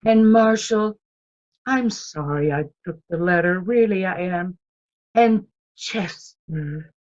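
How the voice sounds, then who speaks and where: A woman's voice: on the low side, takes it slowly, a little mellow, dull audio. An actress playing a prim, emotional frontier woman being courted in a radio western, exclaiming breathlessly and speaking with formal politeness. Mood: excited, fond. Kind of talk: old-time radio western acting.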